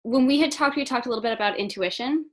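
A woman's voice: on the high side, fast talker, fairly bright tone. A woman hosting a podcast, reading a scripted intro and then chatting with a guest in an upbeat, enthusiastic way. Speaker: podcast host.

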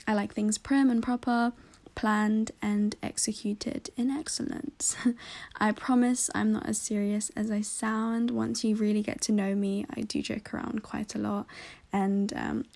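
A woman's voice: a little high, creaky, darkish tone. A woman in her twenties, a podcast co-host, introducing herself in a composed, precise way with small laughs. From half Filipino, half Italian, living in London. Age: 20s.

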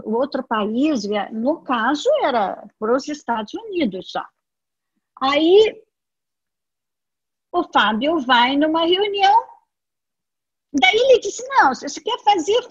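A Brazilian woman with a Brazilian Portuguese accent gives a personal testimony to an audience, telling her story with animation and acting out the dialogue she quotes. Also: sits high. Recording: dull lo-fi audio.

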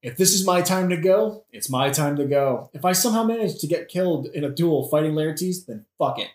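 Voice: mid-range voice, animated, fairly quick, a little mellow, echoey room, lightly acted. A performer playing a flippant, sarcastic, world-weary young prince in a modern comic audioplay, using casual slangy speech. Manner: sardonic, slangy.

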